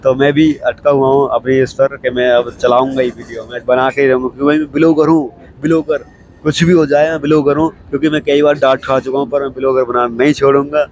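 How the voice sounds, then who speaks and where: Young man, hoarse from a cold, chatty vlogger, flat delivery, clip-on mic, faint background noise. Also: medium pitch, fast talker. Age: young adult. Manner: chatty and repetitive, keeps saying okay. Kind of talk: casual vlog talk to camera. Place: railway station platform, outdoors.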